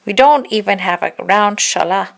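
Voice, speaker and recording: A woman's voice: mid-range voice, moderate pace, vocal fry, natural voice. A woman voicing an earnest young girl who complains plaintively in a storybook. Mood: complaining.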